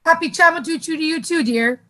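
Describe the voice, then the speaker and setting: A woman's voice: sits high, a little fast, bright, forceful tone. An actress playing a saccharine, pushy sitcom mother, gushing and primly chiding in a comedic radio play. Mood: gushing, cheerful.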